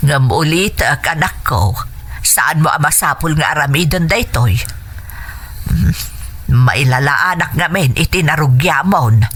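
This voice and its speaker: A woman's voice: low voice, lively intonation, a little slow, a bit bright, slightly rough, heavily acted. A woman playing a worried, tender middle-aged mother in a radio drama, with an Ilocano Filipino accent. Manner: tender, concerned. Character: worried, tender widowed mother of a grown son.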